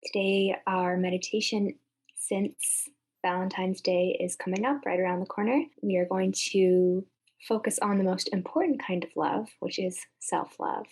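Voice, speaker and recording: A woman leading a guided meditation in a gentle, soothing manner, speaking in short phrases with long pauses. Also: medium pitch, steady tone, husky.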